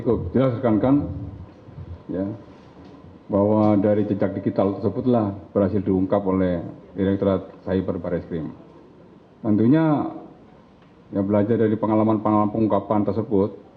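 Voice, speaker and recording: Low-voiced police official briefing reporters. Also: male voice. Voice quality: addresses reporters with frequent "ya" tags. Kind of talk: press conference remarks. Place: indoor press conference room. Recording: phone-like audio with faint hiss.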